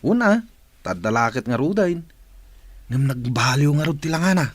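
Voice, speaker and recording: A man's voice: medium pitch, very animated, normal speed, no echo. A man playing a courteous, considerate company boss in an audio drama. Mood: casual. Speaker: voice actor. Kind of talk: radio-style drama acting.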